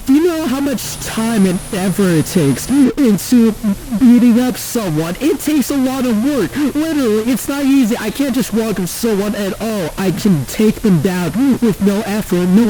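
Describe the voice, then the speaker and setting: A man's voice: extremely high pitch, mellow, rough recording. A man voicing a petulant, jealous villain in a comedic rant, whiny, indignant and sweary.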